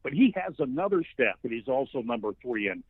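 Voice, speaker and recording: A man's voice: medium pitch, sing-song, a little fast, slightly rough, dry recording. Man on a thin phone line, talking football. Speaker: sports play-by-play broadcaster, interview guest. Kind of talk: conversational football analysis.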